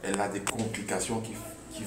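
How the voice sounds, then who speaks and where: Man interviewee, lowish voice, considered delivery, off-mic in a noisy echoey place. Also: level tone, brisk. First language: French. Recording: echoey, distant mic, background noise.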